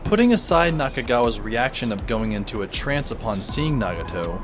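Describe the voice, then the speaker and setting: A man's voice: mid-range voice, close to an everyday voice. A man playing a wry, reflective teenage boy who narrates his own story in an audio drama. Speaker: narrator and lead character. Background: music under the voice.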